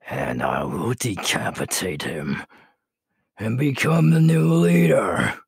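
A man playing a ruthless, menacing young werewolf alpha in an ASMR audio roleplay, gravelly and breathy, slow and boastful, often dropping to a whisper. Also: fairly low pitch, very animated, no room sound, clean studio sound, heavily acted. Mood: menacing, ambitious.

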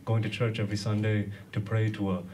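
A man in his thirties from Sri Lanka doing stand-up with a hesitant, deadpan delivery. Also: on the low side, quick pace. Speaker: stand-up comedian. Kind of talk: stand-up comedy monologue. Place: small dark comedy club stage. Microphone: handheld stage microphone. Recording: distant, very noisy live room.

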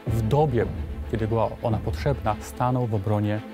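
A man's voice: lowish voice, steady tone, brisk. Formal man with music behind. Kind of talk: formal documentary commentary.

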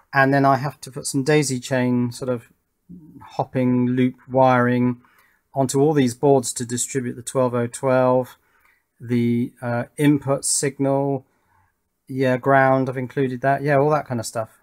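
A man with a mid-pitched voice talks casually through a hands-on electronics build. He thinks out loud as he goes, half muttering to himself, recorded indoors at a workbench by a camera mic above it. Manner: thinking aloud, muttering to himself while working. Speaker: electronics hobbyist host.

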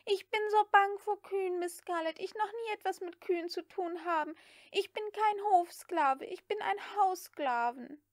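Woman voicing a frightened, high-pitched young maid. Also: moderate pace, dry recording, studio quality. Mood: whining refusal. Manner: broken grammar, pleading protests. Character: frightened, whining, stubborn young maid girl. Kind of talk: audiobook character acting.

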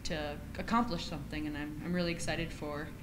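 Young woman athlete, very low voice, flat delivery, earnest, audible hiss. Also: brisk. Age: young adult. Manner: earnest, steady, leans on fillers. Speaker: college basketball player. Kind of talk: press conference answers. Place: press conference room, seated at table. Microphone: shared table mic.

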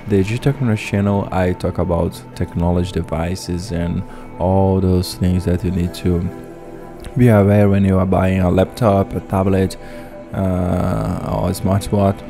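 A man with a very low voice, commenting casually on a video game in halting, chatty English. He restarts his sentences now and then, and loud game music plays beneath his voice-over. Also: flat delivery. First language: Portuguese. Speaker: gameplay host.

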